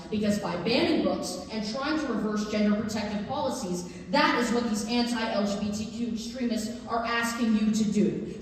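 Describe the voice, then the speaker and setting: A woman performing a staged reading as an earnest, urgent activist addressing a school board, speaking emphatically with deliberate pauses. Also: fairly high pitch, natural voice. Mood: urgent, indignant. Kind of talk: staged reading of a public comment speech. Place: library district theatre stage. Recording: echoey hall, distant mic, faint noise.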